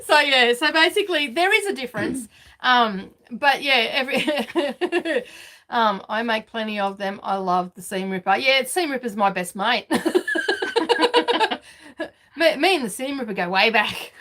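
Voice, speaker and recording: A chatty woman, talking to viewers from her sewing studio. Her voice sits a little high, she laughs often, and she fills gaps with easy "um"s and "so, yeah"s. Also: bright, forceful tone. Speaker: sewing vlog host. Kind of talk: casual live chat.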